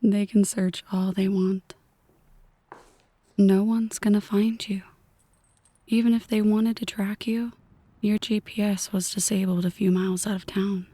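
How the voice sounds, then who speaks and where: Yandere crime boss, played by a woman: female, husky and breathy, unhurried, coolly teasing, ASMR intimacy. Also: mid-range voice, level tone, close to an everyday voice. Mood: teasing, menacing. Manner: hushed, half-whispered, slow, mock-affectionate. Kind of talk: ASMR roleplay.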